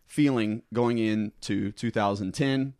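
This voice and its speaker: A man's voice: on the low side, steady tone, slow, darkish tone, no echo, studio quality. A man in his late thirties hosting a casual group chat, rambling and restarting his sentences. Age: late 30s. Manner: rambling, with many restarts and fillers. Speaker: podcast host.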